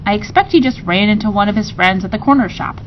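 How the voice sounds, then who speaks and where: A woman's voice: fast, close to an everyday voice. Woman reading as a dry, matter-of-fact boy. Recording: muffled recording with rumbling noise.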